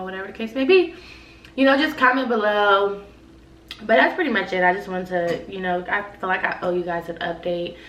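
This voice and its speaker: A woman's voice: lowish voice, gravelly, a little hiss or hum. Young woman, stuffy nose, rambling casual chat, echoey room, distant mic. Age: young adult. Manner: rambling, filler-heavy, frequent "like" and "y'all". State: stuffy nose, sick with a cold-like illness. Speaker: vlogger.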